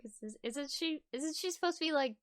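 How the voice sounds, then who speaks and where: A woman's voice: high voice, animated, fairly quick, dry recording, clean studio sound. A woman reading fan fiction aloud in a casual, amused way, breaking off to comment. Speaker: voice actor, co-reader.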